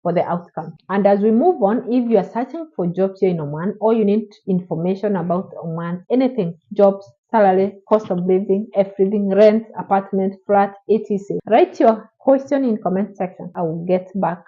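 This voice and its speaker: A woman's voice: medium pitch, normal speed, few pauses. Female vlogger, encouraging and direct, slightly muffled recording. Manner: encouraging, repetitive, direct address. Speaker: vlogger answering viewer questions. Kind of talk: casual advice vlog. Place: Oman.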